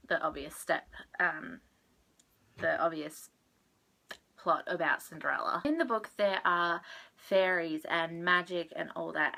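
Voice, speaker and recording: Young woman, stuffed-up nose, low-ish bright voice, rambling book chat. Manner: chatty, rambling, self-correcting. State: stuffed up from sneezing. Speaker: book vlogger. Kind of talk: casual book wrap-up chat.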